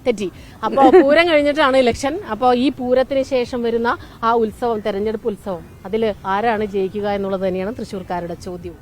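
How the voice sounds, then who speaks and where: A woman's voice: fairly high pitch, fairly even intonation, brisk, a little hiss or hum. A woman, a chatty and inquisitive TV news reporter doing casual street interviews outdoors. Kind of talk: casual on-location vox-pop interviewing and commentary. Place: outdoors under a shade tree at a public ground.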